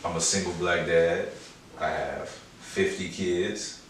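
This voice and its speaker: A man's voice: deep voice, steady tone, slow. An American man in his twenties from Chicago, chatting casually as an interview guest with slangy, laid-back, joking talk. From Chicago, United States. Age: 20s. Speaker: guest, rapper and producer. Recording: very echoey room, distant mic, clear noise.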